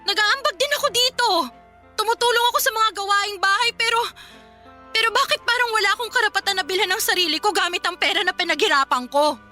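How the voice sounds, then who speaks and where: A woman's voice: extremely high pitch, quick pace, sharp tone, faint music behind. A woman playing a young working daughter in a Filipino radio drama, respectful but defiant, protesting politely and pleadingly. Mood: hurt, protesting. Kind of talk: melodramatic radio drama acting.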